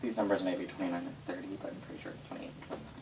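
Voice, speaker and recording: A man's voice: sits low, very fast. A man recounting game lore to his players in a casual, off-the-cuff way, swearing freely and stressing words for drama. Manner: sweary, animated, dramatic emphasis. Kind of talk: casual off-the-cuff history telling. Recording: distant mic, noisy room ambience.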